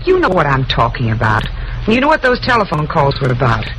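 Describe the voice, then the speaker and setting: A woman's voice: low voice, wildly swooping pitch, a little fast. An actress playing an upset, earnest secretary in an old-time radio drama, pleading and indignant with her boss. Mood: anxious, pleading. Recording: noisy vintage radio recording.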